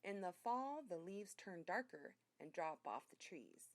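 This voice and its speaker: A woman reading a children's story aloud as a narrator, in an expressive storytelling way. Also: lowish voice, a little slow, dry recording. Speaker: reader.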